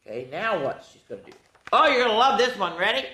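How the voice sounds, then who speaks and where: A woman's voice: medium pitch, big pitch swings, quick pace, bright, forceful tone, echoey room. An older woman reading to children with chatty, folksy asides, speaking playfully and directly to her young listeners. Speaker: storytime host reading picture books to children.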